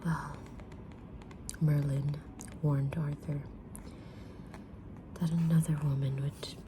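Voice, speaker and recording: Woman as a mysterious gentle witch, whispered ASMR, very slow pace, flat soft intonation, patient fortune teller. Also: deep voice, noisy background. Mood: calm, mysterious. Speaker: ASMR roleplayer reading tarot cards.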